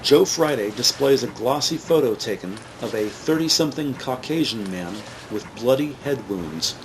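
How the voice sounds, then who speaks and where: A man's voice: mid-range voice, animated, moderate pace, a little hiss or hum, poor audio. A man reading scripted present-tense narration for a crime audio drama.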